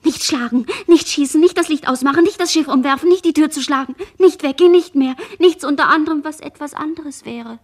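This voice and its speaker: A woman's voice: high-pitched, a little fast, few pauses. A woman playing a barely conscious shipwrecked girl in a radio play, speaking in dreamy, fearful, fragmentary poetic phrases. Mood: urgent, pleading. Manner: fragmentary, incantatory, drifting phrases. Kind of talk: radio play monologue, poetic disjointed speech.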